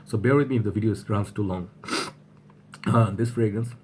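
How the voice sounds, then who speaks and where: Husky man with a flu. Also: lowish voice, moderate pace, a little hiss or hum. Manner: rambling, repeats himself, restarts often. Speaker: fragrance reviewer. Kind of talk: casual vlog talk to camera.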